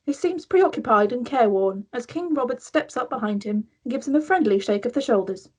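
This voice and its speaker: A woman's voice: fairly high pitch, animated, a little fast, darkish tone, husky. A woman reading stage directions as the narrator of a script table read.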